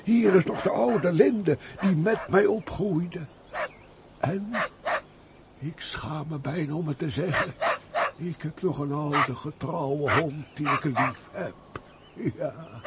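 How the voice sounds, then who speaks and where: An actor playing a pious, frail peasant of about seventy in a theatrical radio play, with an aged voice and emotive sighs. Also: male voice, a little high. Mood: tender contentment. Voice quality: creaky, aged voice. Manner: slow, emotive, full of sighs and exclamations. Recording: faint background noise, old radio play.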